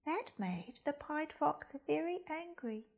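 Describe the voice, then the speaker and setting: Expressive, slow-paced woman reading a children's story. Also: high-pitched, creaky. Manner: expressive, deliberate, addressing young listeners. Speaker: storytime reader at a primary school.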